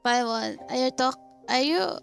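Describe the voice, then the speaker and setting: A woman gamer gives casual playthrough commentary in short, informal remarks. Her voice is fairly high and creaky, at an unhurried pace, with clear game music behind her. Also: lively intonation. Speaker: gaming streamer.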